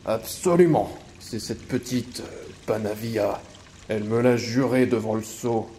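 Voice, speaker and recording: An actor playing a calm, seasoned male mentor of a secret order in a French audio drama, measured and advising. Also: on the low side, lightly acted.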